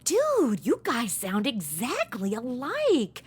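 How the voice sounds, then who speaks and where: A woman's voice: a little high, huge pitch swings, takes it slowly, slightly rough, exaggerated voice. A woman playing a flippant, chatty young woman with a sassy, irreverent way of talking in a comedy audio drama. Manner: sassy, irreverent, modern slang.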